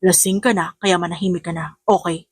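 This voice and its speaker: A woman's voice: on the low side, steady tone, a little fast, slightly rough, no echo, studio quality, voice pushed down. A woman voicing a teasing, exasperated young man in an audiobook romance, scolding and smug.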